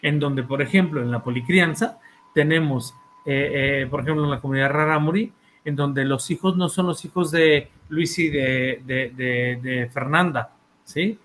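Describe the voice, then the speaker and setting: A man's voice: fairly high pitch, normal speed, a bit bright, slight background noise. A man with a Mexican accent presenting his book in an academic talk, hesitating often with fillers and repeated words and checking in with his listeners. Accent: Mexican Spanish. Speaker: author and therapist presenting a book.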